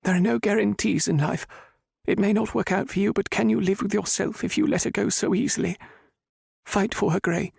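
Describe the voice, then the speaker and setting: A man voicing a gentle, grieving middle-aged mother who speaks softly and tearfully. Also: sits high, a little fast, gravelly, slightly rough, no echo, clean studio sound, voice pushed up, heavily acted. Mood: urging. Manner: soft, tearful and pleading.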